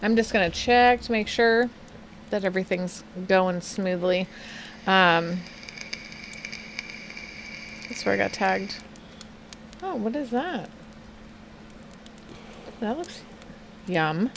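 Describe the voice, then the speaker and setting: A woman in her thirties from Pennsylvania, co-hosting a casual live stream, chatty and quick to laugh as she reads out viewer comments. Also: mid-range voice, lively intonation, noisy background. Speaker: co-host of a live stream show.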